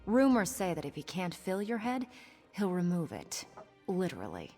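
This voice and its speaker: A woman's voice: lowish voice, huge pitch swings, moderate pace, mellow, long reverb tail, faint music behind. An actress playing a scheming, cynical pirate woman in a video game, with a sardonic, conspiratorial manner. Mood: wry, teasing. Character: scheming roguish female pirate, sly and cynical. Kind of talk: video game character dialogue.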